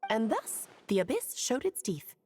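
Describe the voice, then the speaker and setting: A woman's voice: fairly high pitch, wildly swooping pitch, a little slow, over music, heavily acted. Playful knowing witch. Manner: lilting, theatrical, gently teasing. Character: ageless cheerful witch, knowing, playful, wise. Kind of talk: video game voice acting.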